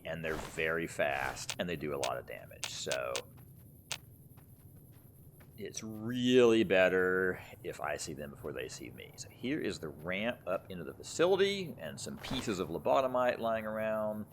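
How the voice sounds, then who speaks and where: Male let's play host: deep, slow, conversational delivery with casual rambling, noisy recording. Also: a bit bright. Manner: rambling, conversational, thinking aloud. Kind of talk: casual gameplay commentary.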